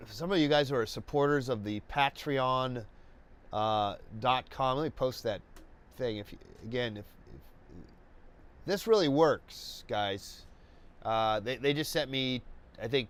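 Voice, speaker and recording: A man hosting a live painting webcast, congested and stuffy, talking in a slow, rambling way with many restarts and fillers. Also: medium pitch, smooth. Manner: halting, many restarts and fillers, laid-back. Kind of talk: rambling live-stream chat.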